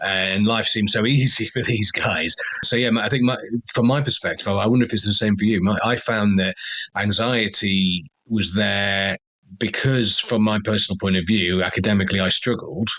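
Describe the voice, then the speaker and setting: Deep-voiced man hosting a podcast. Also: steady tone, normal speed, a bit bright, dry recording, poor audio. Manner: enthusiastic, self-deprecating, laughs often. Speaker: podcast host. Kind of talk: casual conversational interview.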